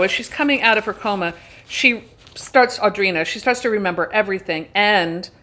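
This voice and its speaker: A woman's voice: mid-range voice, fairly bright tone. A woman hosting a book video, talking casually and candidly, recounting a story with her own opinions mixed in. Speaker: book review video host. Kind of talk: casual chatty book discussion.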